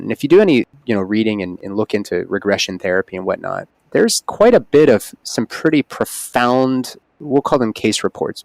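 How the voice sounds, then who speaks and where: A man's voice: fairly low pitch, gravelly, dry recording, rough recording. A man speaking as a holistic OB-GYN guest on a podcast, explaining earnestly in casual conversation. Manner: earnest, explanatory, animated emphasis.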